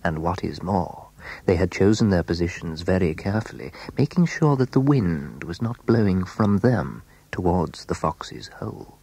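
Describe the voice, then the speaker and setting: A man narrating a scripted children's story in a measured, dramatic way, letting phrase endings fall hushed. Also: low voice, animated, breathy edge, poor audio.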